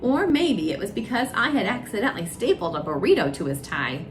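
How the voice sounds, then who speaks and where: A woman's voice: mid-range voice, sing-song, fairly quick. A woman storyteller narrating a children's storybook aloud. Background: music.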